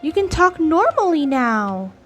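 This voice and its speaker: High-voiced woman streamer, unhurried and halting. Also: sing-song. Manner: halting, repeats words. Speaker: gaming streamer. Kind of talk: casual game playthrough commentary. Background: faint game music.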